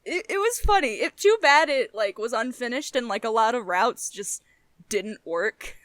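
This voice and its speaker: A woman's voice: on the high side, lively intonation, normal speed, a bit bright, dry recording. A woman co-host chatting casually and informally, giggly and free with swearing. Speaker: podcast co-host. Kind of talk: casual chat.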